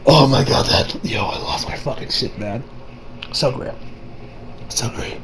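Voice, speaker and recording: A young man giving a casual, rambling movie review as an excitable, slangy fan who trails off into asides. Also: mid-range voice, unhurried, slight background noise. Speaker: video reviewer. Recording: music behind the voice. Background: music.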